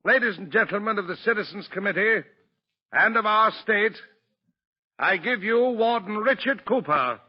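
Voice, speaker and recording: High, husky rally orator. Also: male voice, expressive, normal speed. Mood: proud, formal. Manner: declamatory, formal introductions. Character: booming, earnest male political rally speaker. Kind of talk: 1940s radio drama oratory. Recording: muffled, lo-fi old radio recording.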